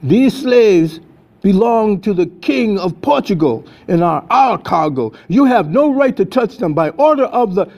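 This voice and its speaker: A man's voice: high-pitched, lively intonation, a little slow, a little mellow, voice pushed up, exaggerated voice. A man voicing a feeble, indignant slave-ship captain in a dramatic story reading. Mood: feeble protest.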